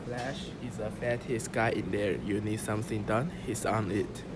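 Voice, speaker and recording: A man's voice: on the low side, fairly even intonation, moderate pace, close to an everyday voice. A man playing a sly, laid-back, teasing animal hustler in an amateur radio play. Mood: breezy, sly. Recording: noisy amateur room recording.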